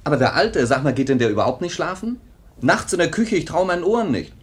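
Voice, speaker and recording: A man's voice: a little high, very animated, fast talker. An actor playing a restless, resentful young man in a 1950s German radio drama, brusque and emotive. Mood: exasperated. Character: restless, resentful grown son, quick to flare up.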